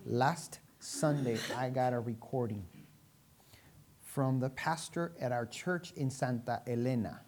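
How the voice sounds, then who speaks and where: Low-voiced preacher from Venezuela, a woman. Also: darkish tone. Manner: rhetorical questions, dramatic emphasis and pauses. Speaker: pastor preaching to a congregation. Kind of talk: sermon.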